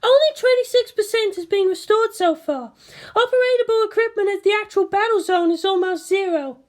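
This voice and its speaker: Woman voicing a high-pitched female military commander. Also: fairly quick. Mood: businesslike. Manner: matter-of-fact, commanding. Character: brisk adult woman military operations director.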